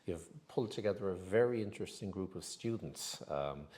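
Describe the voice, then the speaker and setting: A man's voice: low voice, lively intonation, fairly quick, warm tone. A man hosting an academic interview, asking friendly, encouraging questions. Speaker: interview host.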